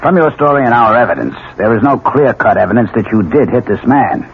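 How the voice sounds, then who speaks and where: An actor playing a blunt, impatient, world-weary police lieutenant in a 1970s radio drama. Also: male voice, medium pitch, lively intonation, fast talker, close to an everyday voice. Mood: businesslike. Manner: blunt, slangy, exasperated. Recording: muffled, noisy 1970s radio recording.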